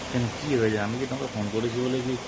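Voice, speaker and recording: A man's voice: fairly even intonation, very fast. A man with a Bengali accent making a prank phone call in casual, insistent, questioning speech. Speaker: prank caller hosting own channel. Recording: very noisy, loud hiss and traffic rumble.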